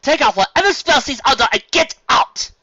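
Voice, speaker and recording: A reader voicing a brave young mare farmer in a fan storybook reading, speaking loudly and forcefully. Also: sits high, expressive, moderate pace, no room sound, highs cut off, voice pushed up. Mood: defiant.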